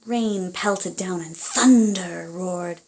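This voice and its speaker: A woman reading aloud for children and parents, in an expressive, deliberate storytelling manner. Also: on the low side, low-quality recording. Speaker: storybook reader.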